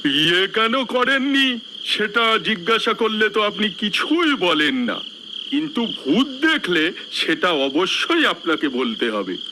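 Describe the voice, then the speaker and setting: A man's voice: high voice, very animated, slow, noisy background, heavily acted. A man playing a young villager in a cartoon, a nosy friend who teases and prods. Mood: teasing, curious. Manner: teasing, prodding. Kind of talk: cartoon dialogue.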